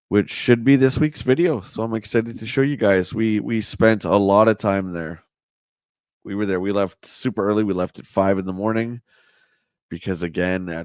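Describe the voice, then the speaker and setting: Man, hoarse, lowish voice, chatty stream host, dry close sound. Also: darkish tone, no echo. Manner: chatty, answers viewer comments. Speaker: livestream host. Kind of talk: casual live chat reading a magazine.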